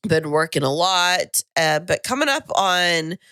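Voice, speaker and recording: A woman co-hosting a podcast in casual chat, her voice husky and a little raspy from a lingering cold, talking in a chatty, rambling way. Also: on the low side, lively intonation, a little slow, sharp tone, no room sound, clean studio sound. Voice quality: slightly raspy. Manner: chatty, self-deprecating, rambling. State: husky tail-end-of-cold voice. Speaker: podcast co-host. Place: home podcasting studio.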